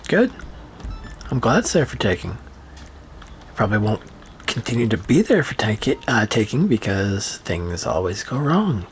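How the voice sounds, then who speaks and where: A man's voice: on the low side, sing-song, a little slow, long pauses, soft background music, low-quality recording. A man rambling through casual gameplay commentary, sarcastic and profane, with a voice that is starting to go. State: losing his voice. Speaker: gaming streamer.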